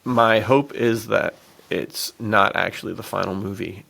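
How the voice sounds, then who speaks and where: A man's voice: lowish voice, a little slow, vocal fry, a bit bright, slightly rough. A man co-hosting a podcast, chatting casually about films in a laid-back, slangy, informal manner. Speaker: podcast co-host.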